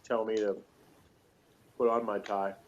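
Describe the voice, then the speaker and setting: A man in a casual, unscripted review chat, talking in a halting, jokey way as a co-host. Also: lowish voice, little pitch movement. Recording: muffled lo-fi audio, noticeable noise.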